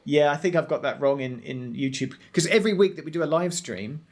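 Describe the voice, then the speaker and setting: A man's voice: on the high side, fairly quick. A man co-hosting a casual tech chat, explaining things in a self-deprecating way. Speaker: podcast co-host and streamer.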